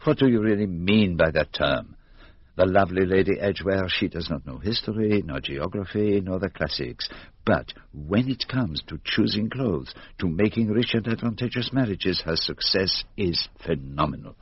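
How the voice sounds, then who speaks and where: An actor playing a shrewd, courteous gentleman detective, in a measured, formal way in a radio drama. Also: deep voice, animated, husky, highs cut off. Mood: musing, wry.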